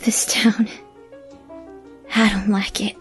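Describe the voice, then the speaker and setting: A woman acts out a gentle, troubled mare in an audio drama. She speaks slowly and earnestly, hushed and extremely breathy, with faint music behind her. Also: mid-range voice, flat delivery. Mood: uneasy, pleading. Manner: hushed, earnest, plain country phrasing. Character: gentle, troubled female pony with uneasy conscience.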